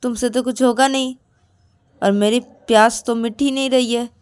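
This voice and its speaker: A woman's voice: a little high, steady tone, normal speed, darkish tone, smooth, close to an everyday voice. A woman voicing a cruel, impatient husband who scolds and snaps scornful questions in an audio drama. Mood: cold, impatient. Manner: scolding, snapping rhetorical questions.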